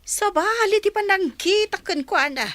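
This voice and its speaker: A woman's voice: very high voice, lively intonation, unhurried, fairly bright tone, no room sound, heavily acted. A woman acting a concerned, earnest confidante in a radio drama, giving advice with emphatic feeling. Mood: admonishing, concerned.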